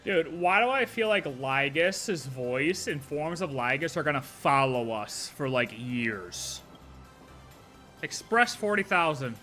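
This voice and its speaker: Bright-voiced man streaming a game reaction. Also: fairly high pitch, sing-song, slow pace, faint music behind. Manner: casual, joking, bursts into loud reactions.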